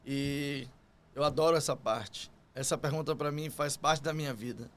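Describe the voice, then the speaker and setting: A man's voice: medium pitch, normal speed, a bit bright. A man from Salvador, Brazil, with a Bahian accent, telling his story conversationally as an interview guest. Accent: Bahian Brazilian Portuguese. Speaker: podcast guest, construction business owner.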